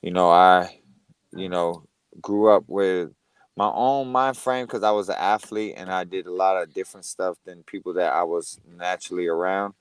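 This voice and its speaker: A man from Connecticut, a fighter being interviewed, talking in a relaxed, casual way and leaning on "you know" a lot. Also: low voice, moderate pace, clean tone, no echo. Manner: laid-back, peppers speech with "you know". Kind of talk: casual conversation. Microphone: headphones on a remote call.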